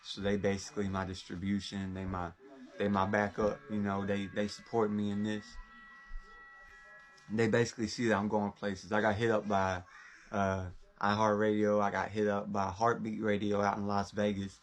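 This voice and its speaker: A young man from talks in a low, even voice that barely rises or falls. He rambles casually and drops plenty of street slang as he speaks about himself. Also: moderate pace, faint music behind. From Orlando, Florida. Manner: laid-back street slang, loose and rambling. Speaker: rapper. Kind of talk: casual first-person talk.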